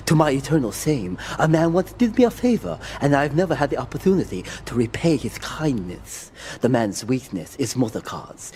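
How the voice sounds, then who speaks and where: An actor playing a proud, stern Japanese yakuza boss in a video game, speaking formally and haughtily. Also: male voice, medium pitch, darkish tone, slight background noise, close to an everyday voice. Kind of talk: video game cutscene acting.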